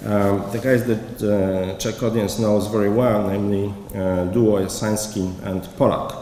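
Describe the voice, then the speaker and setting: A man, a curator giving a conference lecture from a prepared paper, speaking haltingly with many pauses. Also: fairly low pitch, fairly even intonation, mellow. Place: lecture hall. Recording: echoey hall, faint background noise.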